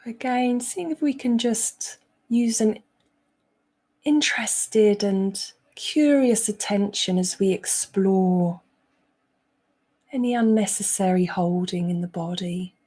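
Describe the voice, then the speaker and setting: A woman leading a guided meditation as a coach, speaking gently with frequent pauses as she gives instructions. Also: medium pitch.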